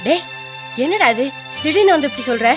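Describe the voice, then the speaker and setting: A woman's voice: high voice, expressive, a little fast, over music. A woman acting in a Tamil radio drama as a worried, bewildered mother, flustered and questioning. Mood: startled, puzzled.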